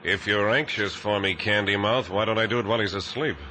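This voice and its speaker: Surly low-voiced henchman. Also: fairly even intonation, fairly quick, sharp tone. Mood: leering. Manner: slangy tough-guy talk. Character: surly, sneering male henchman, crude and lustful. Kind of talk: 1950s radio drama acting. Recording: noisy old radio recording.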